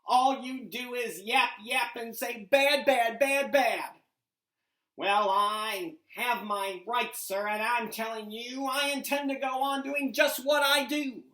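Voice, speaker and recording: Angry greedy male industrialist shouting back, read by a woman, high and loud. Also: steady tone, slow pace. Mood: furious defiant. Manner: loud, defiant, yelling. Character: greedy male factory owner shouting defiantly. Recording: roomy echo.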